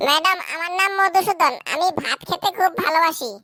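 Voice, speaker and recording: Squeaky, bright, chubby cartoon schoolboy. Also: female voice, a little fast, no room sound, studio quality. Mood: earnest. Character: chubby dim schoolboy with confident silly ideas. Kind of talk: cartoon comedy dialogue.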